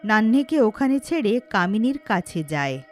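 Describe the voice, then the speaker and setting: Animated woman storyteller with music behind. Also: a little high, moderate pace. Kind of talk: scripted cartoon story narration.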